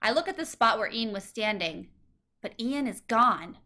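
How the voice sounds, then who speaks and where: A woman reading a children's story aloud as scripted narration, with expressive, clear phrasing. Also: fairly high pitch, normal speed. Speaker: storybook reader.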